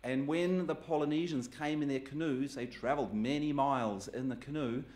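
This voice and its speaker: A man with a New Zealand accent speaking to an audience in an easygoing, conversational talk. His pitch sits in a mid range for a man, and he drops in light, self-deprecating humour. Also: moderate pace, darkish tone. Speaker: guest speaker. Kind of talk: public talk with slides.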